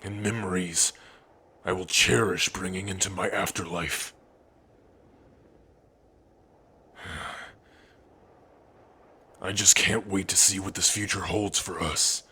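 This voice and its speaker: A man playing a big, devoted, protective orc husband in an intimate ASMR roleplay, speaking tenderly in a husky, breathy voice with sighs and pauses. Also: low voice, pushed and bright, heavily acted. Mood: tender, hopeful. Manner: slow, tender, sighing, with pauses.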